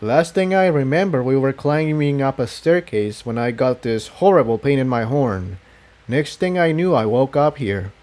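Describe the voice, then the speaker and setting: A man voicing a cautious male unicorn pony, serious and gentle, in a storybook adventure. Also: mid-range voice, animated, moderate pace, close to an everyday voice. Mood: puzzled.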